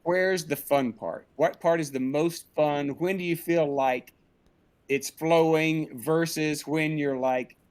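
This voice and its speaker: Male interviewer with slightly high voice. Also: fairly even intonation, slow, clean tone. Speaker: interviewer, host. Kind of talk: casual interview questions. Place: remote video call.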